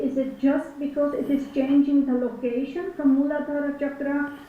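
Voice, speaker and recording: A woman student putting careful, halting questions to her teacher in a class. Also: sits high. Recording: very echoey, muffled audio.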